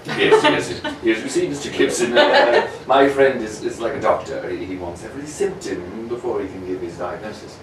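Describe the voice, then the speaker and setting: A man playing a genial, polite gentleman companion with a hesitant, bumbling manner in a radio play. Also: lowish voice, big pitch swings, normal speed, few pauses, crowd noise. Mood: polite, flustered. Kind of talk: amateur radio-play acting. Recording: roomy, distant, noisy live recording.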